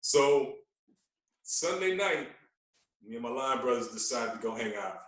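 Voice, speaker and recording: A man speaking as a podcast guest in casual storytelling style, animated and given to repeating himself. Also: mid-range voice, unhurried, echoey room, recorded from a distance, rough recording. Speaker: podcast guest, radio personality.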